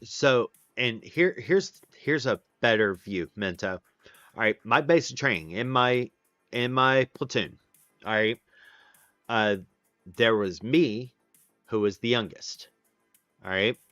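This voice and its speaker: A man's voice: medium pitch, expressive, slow pace, pauses often, fairly bright tone, no room sound, highs cut off, soft background music. A man in his late thirties hosting a casual stream, rambling with lots of fillers and restarts. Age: 30s. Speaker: livestream host. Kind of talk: casual chat with viewers.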